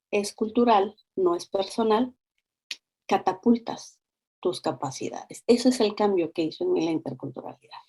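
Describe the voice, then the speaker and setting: A middle-aged woman with a Mexican accent, explaining things as an interview guest in an expressive, conversational way, acting out examples. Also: medium pitch, breathy edge.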